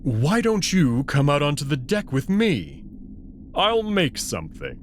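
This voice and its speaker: A man voicing a friendly, clumsy male alien wolf in a visual novel, nervous and halting in his slow speech. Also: a little high, huge pitch swings, slight background noise. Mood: eager, flustered.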